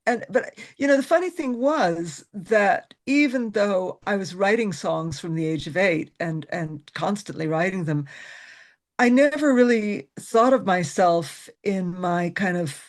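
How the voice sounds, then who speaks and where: Middle-aged woman, american accent with some irish in it, laughs a lot, chatty podcast guest. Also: lowish voice. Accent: mixed American with Irish and West Country traces. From USA. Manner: chatty, laughs often, restarts sentences. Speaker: singer-songwriter guest. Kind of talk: casual interview chat.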